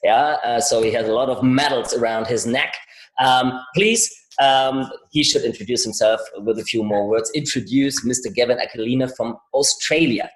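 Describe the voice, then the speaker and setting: A man's voice: mid-range voice, fairly quick, reverberant, rough recording. A man with a German accent, a non-native English speaker hosting an interview, hesitant with frequent restarts. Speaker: podcast host.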